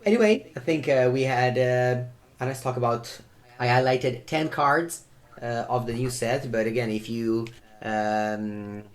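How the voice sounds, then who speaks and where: A man chats loosely on a podcast in a mid-range voice. He often pauses on "uh" and restarts his sentences as he looks for words. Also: medium pitch. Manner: halting, with many "uh" restarts. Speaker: podcast co-host. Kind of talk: casual chat.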